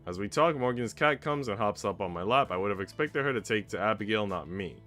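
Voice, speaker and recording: A man's voice: lowish voice, expressive, quick pace, fairly bright tone. A man streaming a visual novel, mixing slangy, casual reactions with reading the narration aloud. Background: game music.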